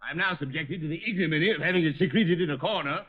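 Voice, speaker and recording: An actor playing a pompous, irascible eccentric collector in a vintage radio drama, scolding in haughty, florid phrases. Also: male voice, a little high, fast talker, husky. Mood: indignant, impatient. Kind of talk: 1950s radio drama acting. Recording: muffled vintage radio recording.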